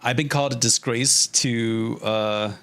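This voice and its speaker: A man's voice: medium pitch, steady tone, unhurried, fairly bright tone, clean tone. A man chatting casually on a livestream as he works, with a joking, self-deprecating manner and loose, sweary phrasing. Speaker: streamer, storyboard artist. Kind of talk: casual livestream chat while drawing.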